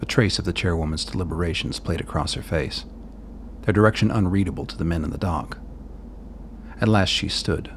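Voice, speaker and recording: A man narrating a scripted audio drama in a measured, literary style. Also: deep voice, slight background noise.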